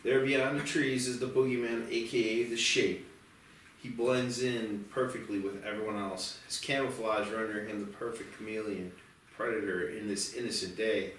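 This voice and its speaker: A man narrates a screenplay in a fairly low voice. He sits back from the microphone in a very echoey room, with faint noise, reading scene descriptions as scripted narration. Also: fairly even intonation, moderate pace, fairly bright tone, far from the mic. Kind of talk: scripted narration at a table read.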